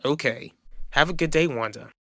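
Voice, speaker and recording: A man playing an earnest, polite man offering friendship in an animated soap opera. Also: mid-range voice, moderate pace, no echo, studio quality, soft background music. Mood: sincere.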